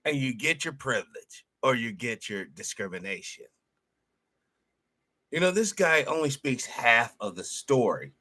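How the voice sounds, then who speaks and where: A man's voice: medium pitch, big pitch swings, a little slow, bright, forceful tone. A man reacting to a speech clip, giving blunt, critical rebuttals in a casual way. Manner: critical, plain-spoken rebuttals. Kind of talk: casual commentary.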